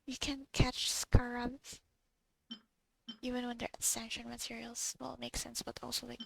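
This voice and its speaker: A woman's voice: on the high side, flat delivery, moderate pace, vocal fry, slight background noise, low-quality recording. A woman gamer giving casual gameplay commentary, muttering to herself in fragments that trail off. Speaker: gamer playing and reacting. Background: video game music.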